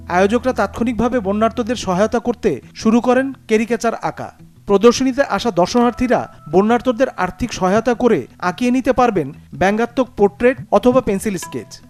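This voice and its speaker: High-pitched male Bangladeshi news narrator. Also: a little mellow. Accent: standard Bangladeshi Bengali. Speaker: news reporter narrating a feature.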